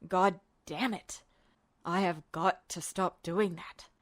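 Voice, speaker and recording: A woman voicing a male military officer, lowish pitch, measured, coaxing, smirking confidence, audiobook dialogue. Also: fairly even intonation. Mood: irritated. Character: smirking, self-assured adult male army colonel. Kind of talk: fan fiction audio reading, character dialogue.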